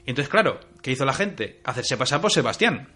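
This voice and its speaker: Fast-talking man telling history. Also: medium pitch. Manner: lively storytelling with stammered restarts. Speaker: history co-host. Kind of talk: casual history chat.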